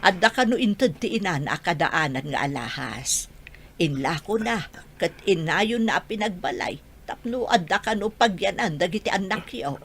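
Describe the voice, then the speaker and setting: A woman portrays an older Ilocano mother in a radio play, caring but quick to scold. Her voice sits fairly low. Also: animated, slightly rough. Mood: reproachful. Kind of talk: Ilocano radio comedy drama.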